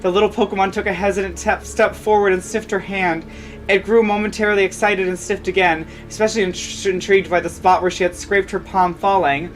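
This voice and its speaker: A woman's voice: medium pitch, steady tone, a little fast, soft background music, low-quality recording. A woman reading fan fiction aloud as a dramatic story narrator.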